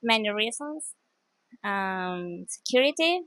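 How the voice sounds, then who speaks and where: A woman from Venezuela with a Venezuelan accent, giving brief answers with soft laughs. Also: mid-range voice, lively intonation, slow pace, no echo. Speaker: interviewee.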